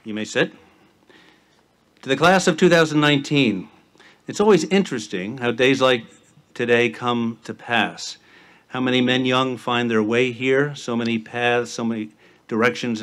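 A middle-aged man, a school headmaster, giving a formal commencement address at a podium outdoors, speaking in measured, rhythmic lists. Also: mid-range voice. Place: outdoor stage at a campus ceremony. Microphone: podium microphone with PA.

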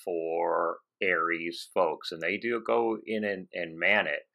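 A man in his fifties with a low, bright, forceful voice hosts a casual technical conversation. He thinks aloud in a halting way, speaking into a headset at home. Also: steady tone, gravelly. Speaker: podcast host. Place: home office with bookshelves, on a video call.

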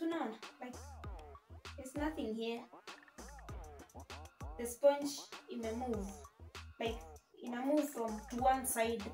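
A woman's voice: fairly high pitch, a little mellow. A young adult woman with a Kenyan accent, chatting casually as a vlogger. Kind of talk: casual vlog chat, mixing English and Swahili.

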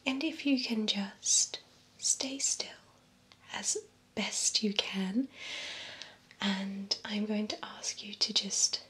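A young woman playing a calm vampire salon attendant in a soft, breathy, gentle ASMR whisper. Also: medium pitch. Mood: calm, attentive. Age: young adult. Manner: slow, gentle, deliberate delivery. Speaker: ASMR performer. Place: small indoor room with bookshelves.

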